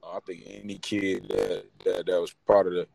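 A young man speaks with a low voice that stays flat and steady. He talks loosely in street slang and keeps checking in with "you know what I mean". The sound is dry, with no room echo. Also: normal speed, vocal fry. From Detroit, Michigan. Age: young adult. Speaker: guest, boxer and entrepreneur. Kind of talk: casual interview talk.

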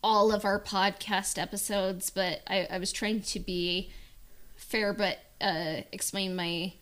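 A woman co-hosts a casual podcast chat in a bright, forward voice of average pitch. She speaks in halting bits with plenty of "like" as filler. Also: fairly even intonation. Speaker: podcast co-host.

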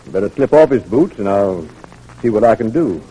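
Male doctor, mellow, husky, unhurried, obliging, old-time radio drama. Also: on the low side, animated, rough recording. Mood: calm, obliging.

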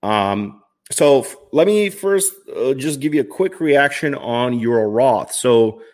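A man's voice: medium pitch, expressive, slow, a little mellow. A man in his thirties hosting a live stream, answering viewer questions in a chatty, upbeat way with lots of fillers and restarts. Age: 30s. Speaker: finance livestream host.

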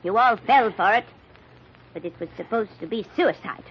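An American actress playing a middle-aged, bitter and commanding murderer in an old-time radio mystery. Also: female voice, mid-range voice, animated, moderate pace, husky, dull audio. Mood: grim confession. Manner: commanding, sardonic. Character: bitter, scheming older woman, a cornered murderer.

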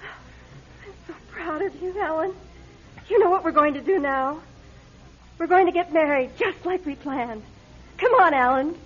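A woman's voice: very high voice, a little slow, long pauses. An actress playing a determined, manipulative young woman in a classic radio drama, with an intense, insistent delivery. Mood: elated. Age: young adult. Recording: muffled radio recording with noticeable noise.

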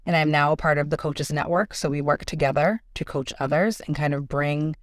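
A woman's voice: low-pitched, steady tone, fairly quick. A woman hosting a podcast, reading a scripted intro and then chatting with a guest, affirming her often. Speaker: podcast host.

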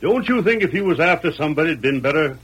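An actor playing a stern, fair-minded frontier sheriff with a drawling, authoritative manner, in an old-time radio drama. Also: male voice, on the high side, lively intonation, fast, fairly bright tone, slightly rough, heavily acted, poor audio. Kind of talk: 1930s radio drama acting.

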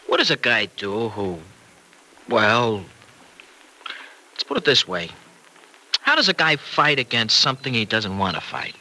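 Troubled farmer, bright urgent voice. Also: male voice, medium pitch, sing-song, exaggerated voice, rough recording. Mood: confused, hesitant. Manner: halting, earnest confiding. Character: troubled restless married farmer with a wayward past. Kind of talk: old-time radio drama acting. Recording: vintage radio recording, faint noise.

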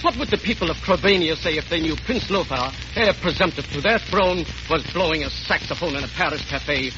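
A man plays a loyal, easily flustered manservant in a radio comedy. His high voice is deferential to his master. The old recording is dull and clearly noisy. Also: brisk, natural voice. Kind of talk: 1930s-40s radio comedy acting.

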